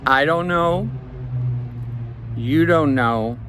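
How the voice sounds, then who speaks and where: A man, a life coach, speaking slowly and reflectively in an evening vlog recorded outdoors on a phone. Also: fairly high pitch, noisy background. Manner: slow, measured, reflective.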